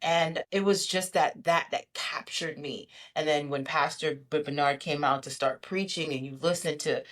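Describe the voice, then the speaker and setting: A woman, giving an animated, emphatic testimony as a podcast guest and often repeating herself for stress. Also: low-pitched, normal speed. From Brooklyn, New York.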